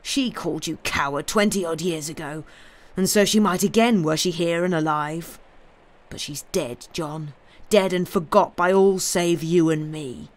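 Bitter male villain for an audiobook, acted by the narrator: slow, forceful, theatrical menace, dripping scorn. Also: mid-range voice, natural voice. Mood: scornful. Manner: sneering, forceful, declamatory. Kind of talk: dramatic audiobook character voice.

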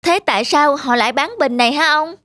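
A woman's voice: high voice, a little fast, sharp tone, no echo. A woman voicing an eager, respectful young male servant in a moral folk-tale cartoon, polite and inquisitive.

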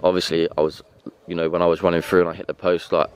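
A young man, a footballer giving an earnest, halting post-match interview at a pitch outdoors at night, speaking into a handheld mic. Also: little pitch movement. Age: young adult.

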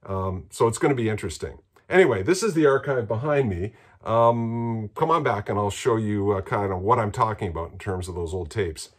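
A man, explaining things in a casual, halting vlog style at home. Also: lowish voice, animated. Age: 60s. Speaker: musician and presenter. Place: small rooms in a home.

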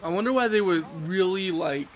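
A young man with a stuffy, congested voice chats casually over his gameplay, joking at his own mistakes. His voice sits a little high, with faint hiss and the game's rain behind him. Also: expressive, brisk. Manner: rambling, jokey, self-mocking. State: congested from allergies. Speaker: let's play host.

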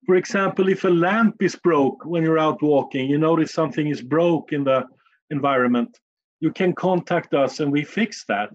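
A man with a Stockholm Swedish accent speaks English as a second language, answering questions in a measured, plain-spoken way. His voice sits a little high for a man, and the recording sounds slightly dull and muffled. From Sweden. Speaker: guest, communications manager. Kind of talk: podcast interview answers.

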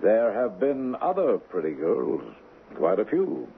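A man's voice: fairly low pitch, wildly swooping pitch, takes it slowly, heavily acted. An actor playing a domineering, brusque middle-aged millionaire father, curt and dismissive, in a 1970s radio drama. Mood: scornful, commanding. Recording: muffled, noisy 1970s radio broadcast.

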